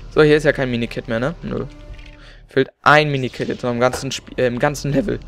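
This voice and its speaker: A man's voice: mid-range voice, fairly even intonation, fast talker, vocal fry, fairly bright tone, soft background music. A young man casually commenting on his own gameplay, chatty and joking. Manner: chatty, joking, mixes in playful English. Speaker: let's play gamer.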